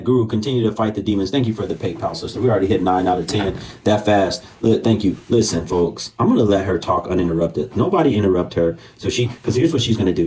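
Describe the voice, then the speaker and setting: Low-voiced, goading American man. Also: warm tone, a little hiss or hum. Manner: mocking, goading. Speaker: livestream host. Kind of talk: bantering livestream debate talk.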